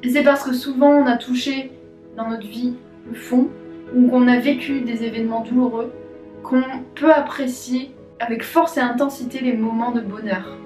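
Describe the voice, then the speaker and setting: A woman who works as a clinical psychologist, telling a story gently and thoughtfully with many fillers as she hosts her own video. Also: on the high side, fairly even intonation, moderate pace, echoey room, recorded from a distance, faint music behind. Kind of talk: storytelling to camera.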